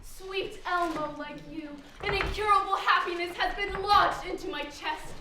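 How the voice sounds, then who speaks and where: A woman's voice: very high-pitched, moderate pace. A young woman playing a pompous, gleefully greedy birthday child in a stage comedy, with theatrical, declamatory delivery. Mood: ecstatic. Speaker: amateur stage actor. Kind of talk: mock-Greek-tragedy stage comedy. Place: indoor stage, recorded from afar. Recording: echoey hall, distant mic, noisy.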